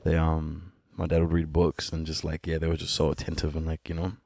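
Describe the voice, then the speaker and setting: Deep gravelly nz-guy in his 30s, laid back, talks easy and laughs a lot, dry close mic. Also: fairly quick, dry recording. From born in Sudan, raised in New Zealand. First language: an Ethiopian language. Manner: relaxed, candid, laughing often. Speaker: musician guest. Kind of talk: casual podcast conversation.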